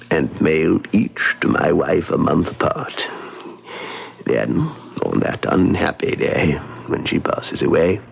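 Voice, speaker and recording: An actor playing a ruined, despairing gentleman in an old-time radio drama, solemn, weary and deliberate. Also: very deep voice, gravelly, slightly rough, heavily acted. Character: despairing, ruined gentleman, grave and resolute husband. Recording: dull lo-fi radio drama recording.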